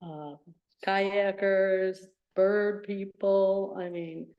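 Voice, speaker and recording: Soft-spoken woman, chatty with lots of ums, mid-pitched, presenting to a town board. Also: fairly even intonation, drawn-out words, muffled recording. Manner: chatty, hesitant with many ums. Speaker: pond program presenter. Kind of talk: community meeting testimony. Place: town board meeting room.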